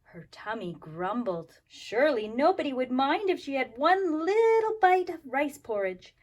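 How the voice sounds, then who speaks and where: A woman's voice: sits high, big pitch swings, a little slow. A woman talking and reading aloud expressively to young children as a kindergarten teacher, explaining things simply. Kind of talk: children's story time, expressive read-aloud.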